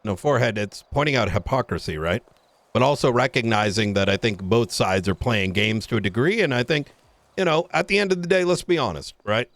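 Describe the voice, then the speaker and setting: A man's voice: medium pitch, a little fast, fairly bright tone, dry recording, close to an everyday voice. A man playing a blunt, foul-mouthed police chief in an improvised roleplay argument, talking casually and argumentatively. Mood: defiant, bargaining.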